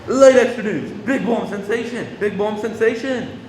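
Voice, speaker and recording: A man's voice: sits high, normal speed, darkish tone, lightly acted. A man playing a newspaper seller in a radio play, calling out in a chanting street cry. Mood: hawking. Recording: echoey hall with long reverb, faint noise.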